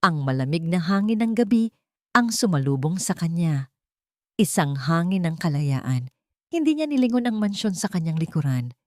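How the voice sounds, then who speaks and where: A woman's voice: on the low side, animated, moderate pace, mellow, no room sound, studio quality. A woman with a Filipino accent giving a scripted, dramatic narration of a story.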